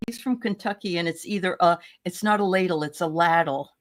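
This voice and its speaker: A woman's voice: on the low side, brisk. A woman with a Boston accent hosting a casual livestream chat, greeting viewers and chatting with her co-hosts. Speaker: host.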